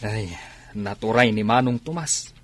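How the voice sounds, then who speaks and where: A man's voice: on the low side, a little slow. A man playing a resigned, disapproving village father in a comedy radio drama. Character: resigned village father commenting on his stubborn relative.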